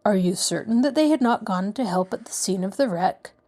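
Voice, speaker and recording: A woman voicing a courteous middle-aged male lawyer who speaks in a measured, reassuring way. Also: medium pitch, expressive, darkish tone. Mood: calm.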